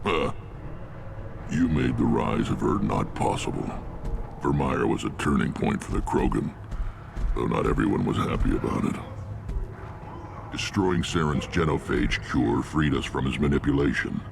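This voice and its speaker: An actor playing a huge, battle-hardened alien warlord in a video game, gruff and commanding, with a gravelly voice. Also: male voice, very deep voice, moderate pace, pauses often, noisy background, exaggerated voice. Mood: proud, commanding.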